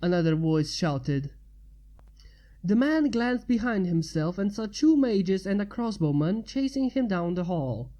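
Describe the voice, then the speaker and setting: A woman's voice: medium pitch, lively intonation, a little slow, mellow. A woman narrating fan fiction as a story reader.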